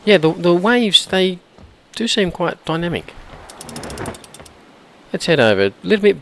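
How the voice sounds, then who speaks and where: Hoarse, lively gaming man. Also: sits high, unhurried, a little hiss or hum. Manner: chatty, thinking aloud, clears throat often. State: hoarse from a cold. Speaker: gameplay commentator. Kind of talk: casual let's-play commentary.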